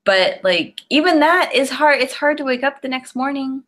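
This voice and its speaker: A woman in her early thirties, co-hosting a podcast from home over a video call, chatty and relaxed as she tells stories. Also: high voice, normal speed. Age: 30s. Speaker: podcast co-host. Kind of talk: casual chat. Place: home room with curtains. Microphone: video call webcam.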